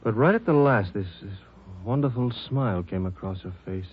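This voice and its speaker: A man playing a quiet, matter-of-fact hospital doctor with a husky voice in a 1950s radio drama. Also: lowish voice, lively intonation, moderate pace, a little hiss or hum, close to an everyday voice. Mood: musing, puzzled. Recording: dull, muffled old radio recording.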